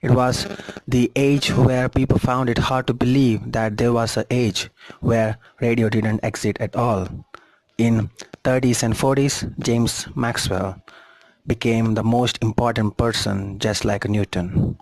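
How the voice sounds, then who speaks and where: A man's voice: mid-range voice, steady tone, unhurried, a little mellow, no room sound, low-quality recording. A man reading a scripted history narration as a documentary narrator.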